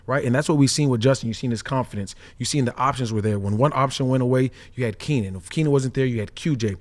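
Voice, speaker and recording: Man, ex-player analyst, average pitch, energetic, repetitive, casual podcast talk. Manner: energetic, repeats himself, peppers lines with "right?". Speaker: former pro football player turned analyst. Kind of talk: casual sports podcast chat.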